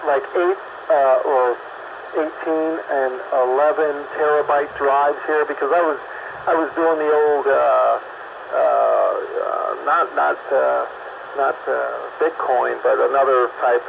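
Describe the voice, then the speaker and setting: A man's voice: mid-range voice, steady tone, slow pace, creaky. A man calling in to a casual radio chat, rambling and hesitant with many restarts. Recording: dull lo-fi line with background noise.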